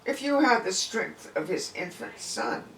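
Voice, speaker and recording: A man's voice: high voice, expressive, measured pace, vocal fry, a bit bright, voice pushed up, full character voice. A man voicing an anxious, weary village wife in a folk tale, with emphatic exclamations. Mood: pleading. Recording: distant, echoey room with faint noise.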